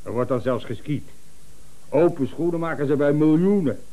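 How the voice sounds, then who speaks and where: A man's voice: a little high, normal speed, dark tone, lightly acted, rough recording. Man acting a pompous, domineering company boss. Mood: self-satisfied, commanding. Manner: pompous, emphatic, blustering. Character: pompous, infallible, domineering male company director. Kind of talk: radio comedy acting.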